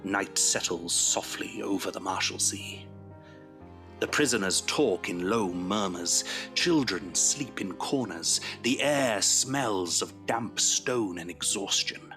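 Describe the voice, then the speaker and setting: A man's voice: low-pitched, lively intonation, takes it slowly. A man giving solemn, atmospheric scripted narration for an audio drama. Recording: music clearly under the voice.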